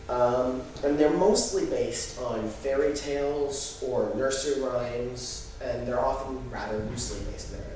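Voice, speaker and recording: A young adult man giving a halting, explanatory student presentation live in a room. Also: mid-range voice, dark tone. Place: echoey indoor room. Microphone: distant microphone. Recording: very echoey room, distant mic, noisy.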